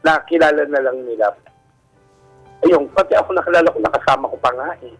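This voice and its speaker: Husky, effeminate Filipino man telling his story. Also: fairly high pitch, a little fast. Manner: effeminate way of speaking. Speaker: radio show guest telling his life story. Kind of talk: candid personal storytelling in an interview. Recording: radio broadcast with music underneath. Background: background music.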